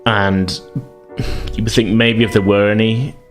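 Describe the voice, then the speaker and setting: A man's voice: fairly low pitch, flat delivery, unhurried, soft background music. A man narrating a tabletop game as game master in a hesitant, self-correcting, conversational way.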